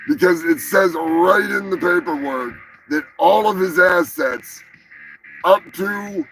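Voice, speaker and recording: A man with a slightly higher voice and a rough, gravelly edge hosts a live show. He rambles through the news with a loose, sarcastic manner and laughs at his own asides. Faint music plays underneath. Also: rough recording. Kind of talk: casual profane news commentary.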